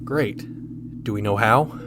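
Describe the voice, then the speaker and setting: A man's voice: lowish voice, takes it slowly, heavy background noise. A man playing a stern, exasperated starship captain in a sci-fi radio drama, blunt and questioning. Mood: weary, exasperated. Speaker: voice actor.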